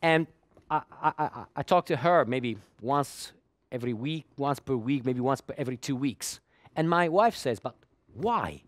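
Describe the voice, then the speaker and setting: A man's voice: medium pitch, lively intonation, normal speed, no echo. A middle-aged man gives a technical conference talk, often repeating words while he searches for the next one. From Italy. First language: Italian. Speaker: conference speaker.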